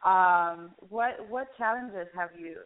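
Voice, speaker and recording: A woman's voice: lowish voice, slow pace. A woman interviewing guests in a casual, chatty, informal way, often dropping in "you know". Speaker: interviewer, show host. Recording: phone-like, band-limited radio line.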